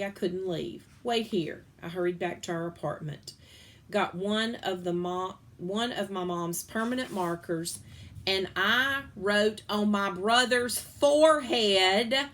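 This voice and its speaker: A woman reading a children's picture book aloud with playful, expressive storytelling and big swings in pitch. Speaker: reader.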